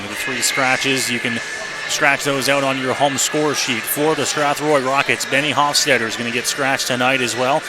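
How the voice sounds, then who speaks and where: A man doing live radio play-by-play commentary before a hockey game, as the announcer. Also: mid-range voice. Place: indoor hockey arena. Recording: noisy live rink broadcast. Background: clear background arena noise.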